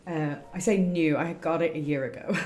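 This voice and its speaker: A woman's voice: low-pitched, moderate pace, darkish tone. A young adult woman streaming a game, chatting casually in a rambling, informal way. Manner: rambling, informal, self-interrupting. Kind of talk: casual livestream chat while gaming. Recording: roomy echo, faint noise.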